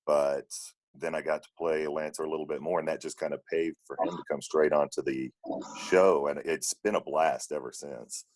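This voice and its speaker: A man with an extremely deep voice tells a relaxed, casual anecdote. He sounds like a voice actor talking among colleagues on a group call. The sound is dry, with very little room. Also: moderate pace, dry recording. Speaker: volunteer audio drama voice actor.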